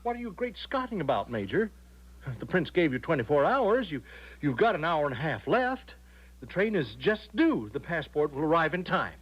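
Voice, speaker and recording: An actor playing a fussy, flustered, by-the-book legation secretary who stammers and repeats himself in a radio drama. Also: male voice, on the high side, sing-song, normal speed, breathy edge. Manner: stammering, repetitive, dithering, officious. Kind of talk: 1970s radio drama acting. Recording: dull lo-fi old radio broadcast.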